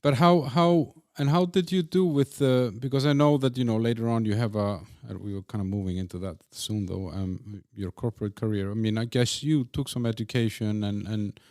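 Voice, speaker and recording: A man's voice: on the low side, steady tone, normal speed, hardly any pauses, darkish tone, dry recording, clean studio sound. A man hosting a casual interview, asking loosely phrased, tentative questions. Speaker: podcast host.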